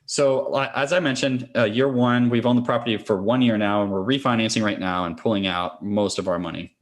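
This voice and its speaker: A man's voice: fairly low pitch, steady tone, a little fast. A man speaking as a podcast interview guest, a real estate investor explaining things conversationally and step by step.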